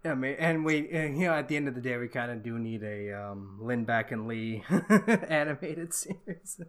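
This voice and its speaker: A man's voice: mid-range voice, expressive, moderate pace. A man in his role as podcast host chats loosely with his guest, tripping over his words and restarting his sentences. He laughs often between thoughts. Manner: rambling, many restarts and fillers, laughs often. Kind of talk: casual interview chat.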